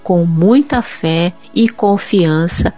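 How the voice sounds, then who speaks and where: A woman from São Paulo state gives a testimony as a viewer, speaking in a slow, careful, measured way. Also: fairly low pitch, warm tone, faint music behind. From Cubatão, São Paulo, Brazil. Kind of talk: read testimony.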